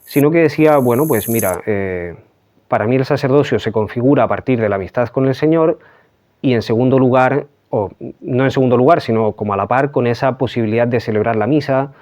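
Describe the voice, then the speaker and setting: A Chilean man in his thirties, a priest, recounting his vocation in a soft, halting way with many fillers. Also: medium pitch. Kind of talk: reflective interview testimony.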